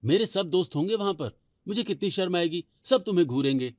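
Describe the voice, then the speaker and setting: Man acting a high-pitched, belittling young husband. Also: expressive, a little fast, dry recording, lo-fi sound. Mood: disgusted, belittling. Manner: blunt, critical, dismissive.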